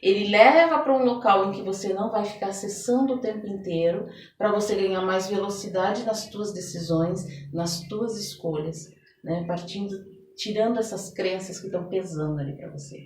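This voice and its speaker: A woman's voice: mid-range voice, moderate pace, darkish tone. A Brazilian woman speaking as a therapist guest in a podcast interview, explaining conversationally with everyday examples. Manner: explanatory, illustrates with everyday examples and imagined quotes. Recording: roomy echo, distant mic, background noise.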